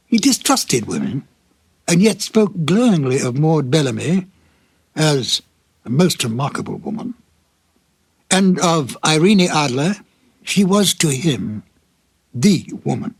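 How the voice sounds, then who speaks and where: A man hosting a program with scripted narration, dramatic and deliberate like a storyteller, in a slightly husky voice. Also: on the high side, dry recording. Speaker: host introducing old radio episodes.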